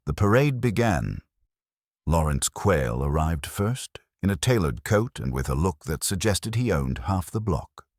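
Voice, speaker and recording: Very deep-voiced male story narrator. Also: breathy edge, no echo, studio quality. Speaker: audiobook narrator. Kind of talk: scripted first-person detective story narration.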